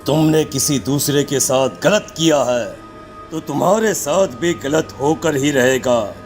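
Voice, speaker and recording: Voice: mid-range voice, unhurried, soft background music, natural voice. A performer voicing a stern male village tantric who speaks in an ominous, accusing way in a story.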